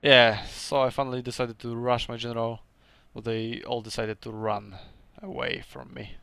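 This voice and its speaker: A man's voice: mid-range voice, flat delivery, moderate pace, vocal fry, pushed and bright, clean tone, no room sound. A young man with a Polish accent casually commentating his own strategy game match, chatty and laughing often, with hesitant second-language phrasing.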